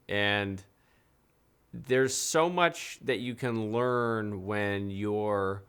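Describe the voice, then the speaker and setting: A man's voice: on the low side, fairly bright tone. A man in his thirties hosting a live call-in stream from a dim studio desk, talking loosely with fillers and restarts. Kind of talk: casual live-stream chat.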